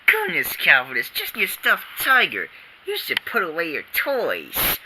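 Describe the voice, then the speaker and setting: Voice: mid-range voice, wildly swooping pitch, a little slow, noisy background, pitched above the performer's own voice. A performer voicing an exasperated middle-aged father.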